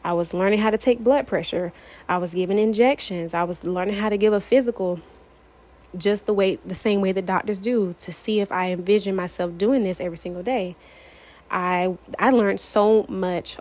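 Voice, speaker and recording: A woman's voice: mid-range voice, a little mellow. A young woman from Florida, sharing her personal testimony in an earnest, emphatic way, repeating phrases to drive them home. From United States, Florida.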